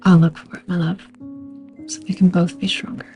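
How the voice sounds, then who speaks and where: A woman's voice: on the low side, level tone, brisk, warm tone, muffled recording, natural voice. Emotional young mother, acted by a woman, music behind. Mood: tender, anxious. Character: Zoe, guarded, tender young mother. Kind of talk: audio drama acting.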